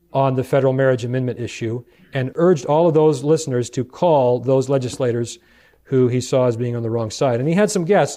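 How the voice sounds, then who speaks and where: An American man preaching in a lecture-like Bible study, explaining deliberately and putting rhetorical questions to his listeners. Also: on the high side, mellow. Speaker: preacher, church elder.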